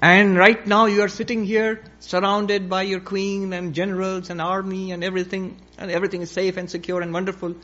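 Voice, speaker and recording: A man's voice: sits high, little pitch movement, brisk, hardly any pauses, sharp tone, lo-fi sound, pitched above the performer's own voice. A man voicing a wise sage in a spoken parable, putting formal, rhetorical questions. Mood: probing. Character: wise male sage, calmly probing an emperor.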